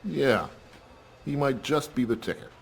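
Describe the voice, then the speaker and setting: An actor playing an obedient, businesslike subordinate in a video game, with a measured, slightly hesitant delivery. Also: male voice, medium pitch, expressive, gravelly, a little hiss or hum. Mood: deferential, guarded.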